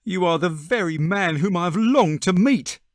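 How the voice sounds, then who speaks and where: A frightened little man, acted by a male audiobook reader, whose thin voice sits high and quavers. He whines and cringes nervously through a classic story, swooping in pitch, in a dry recording. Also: animated, normal speed, no echo. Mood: eager, nervous. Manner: nervous, whining.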